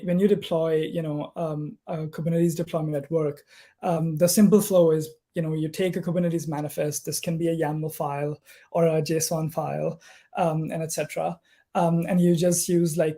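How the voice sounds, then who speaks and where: A man's voice: on the high side, dark tone. A man from Pakistan giving a technical conference talk over a video call, hesitant and full of ums and uhs. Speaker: conference speaker, software engineer.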